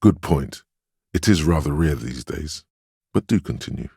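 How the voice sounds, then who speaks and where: An actor playing a stern, steadfast inner voice of willpower in a video game, giving measured, solemn counsel and urging composure. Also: male voice, rumbling low, lively intonation, gravelly, dark tone, breathy edge, dry recording, clean studio sound, full character voice. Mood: dry, reproachful. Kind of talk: dramatic video game voice acting.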